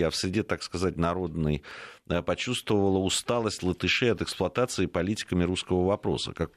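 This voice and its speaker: A man with a very deep voice co-hosts a political talk show, speaking haltingly and often restarting his words. The sound is dry and close. He reads listeners' messages and puts questions to a guest. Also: no echo. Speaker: co-host.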